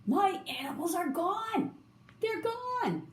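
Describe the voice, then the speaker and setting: Woman voicing a flustered, high-pitched zookeeper. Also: sing-song, a little slow, darkish tone. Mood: shocked. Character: flustered male zookeeper, pleading and bargaining. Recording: roomy echo, faint background noise.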